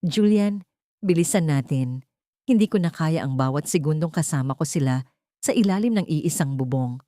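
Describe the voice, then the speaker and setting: A woman's voice: sits low, lively intonation, normal speed, dark tone, no echo, studio quality. A woman voicing a hurt but resolute wronged wife in an audio story. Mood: anguished, weary.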